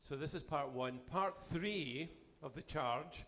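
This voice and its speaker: Canadian bishop guy giving his synod address, losing his voice, calm, trails off at the ends. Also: fairly high pitch, takes it slowly. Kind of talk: formal address to a church assembly. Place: church assembly hall.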